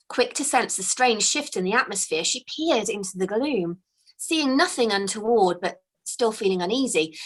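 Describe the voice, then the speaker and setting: A woman author reading her own fantasy storybook aloud, in a slow, expressive, hushed storytelling manner. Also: mid-range voice, poor audio. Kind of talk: storybook read-aloud narration.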